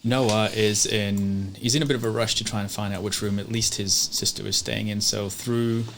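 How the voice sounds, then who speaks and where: A man's voice: fairly low pitch, fairly even intonation, normal speed, vocal fry, fairly bright tone. A man casually describing his character's moves as a player in a tabletop roleplay game. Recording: noisy room ambience.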